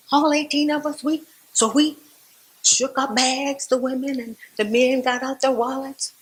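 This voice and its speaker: A woman playing a devout, resolute Southern civil rights activist, telling her story to church in a theatrical, folksy monologue with dramatic pauses and repetition. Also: fairly high pitch, close to an everyday voice, poor audio. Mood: urgent, animated.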